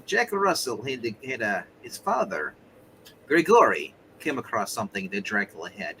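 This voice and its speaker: A man's voice: mid-range voice, big pitch swings, moderate pace, bright, forceful tone, a little hiss or hum, low-quality recording. A man chatting casually as a player in an online tabletop roleplay game.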